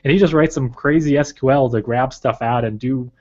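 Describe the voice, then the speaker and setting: Man, interview guest, from china, chinese first language, rambling with fillers, mid pitch, slightly muffled lo-fi audio. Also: fairly quick, darkish tone. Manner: thoughtful, rambling, many fillers. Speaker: guest, research advisor. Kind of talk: casual interview talk. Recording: slightly dull lo-fi recording.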